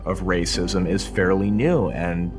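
A man's voice: sits low, fairly even intonation, measured pace. A man giving explanatory documentary commentary with instrumental music behind him.

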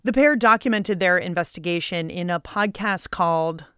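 A woman's voice: fairly low pitch, normal speed, a bit bright, clear, resonant tone, dry recording, studio quality. A woman with an American accent hosting a radio show, reading scripted narration in a playful, conversational way. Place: dry studio.

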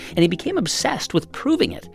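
A male podcast host narrates and explains in a lively storytelling way. His voice is a bit high and gravelly, with very animated pitch. Faint music runs underneath. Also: a little fast. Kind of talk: scripted narration and interview.

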